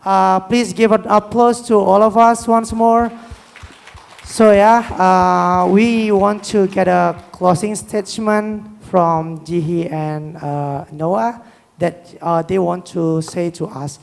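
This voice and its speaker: A man's voice: sits high, fairly even intonation, slow, creaky. A man with an Indonesian accent moderating a live panel in informal, simple English, often repeating okay and yeah. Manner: repetitive okays and yeahs. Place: hall on a stage, live event. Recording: echoey hall sound.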